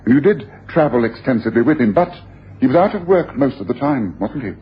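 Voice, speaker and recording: A commanding, animated radio-drama detective. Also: male voice, medium pitch, fast talker, husky. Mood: accusing. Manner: theatrical, incisive, domineering. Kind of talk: 1980s radio drama acting. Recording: dull, noisy old radio broadcast.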